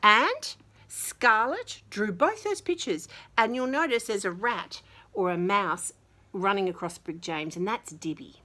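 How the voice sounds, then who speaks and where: A woman reads a picture book to little children at an unhurried pace. Her pitch moves up and down dramatically in a bright voice, and she chats casually to her young listeners, sometimes in a hushed tone. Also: animated. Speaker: children's story reader. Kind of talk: animated picture-book read-aloud with casual asides.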